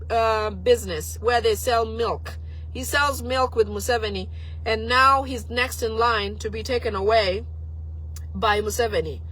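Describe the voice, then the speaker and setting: A woman, hoarse and raspy from a flu, giving critical political commentary on a live stream and answering viewers' comments. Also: on the high side, fairly bright tone, poor audio. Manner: talks to viewers and reads their comments aloud; pointed and critical, with frequent fillers and restarts.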